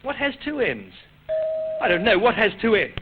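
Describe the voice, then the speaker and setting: Animated high-voiced male radio host. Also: normal speed. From Australia. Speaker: radio quiz show host. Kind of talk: old-time radio stage show hosting for children. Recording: noisy, dull old radio recording with audience. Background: studio audience, heavy noise.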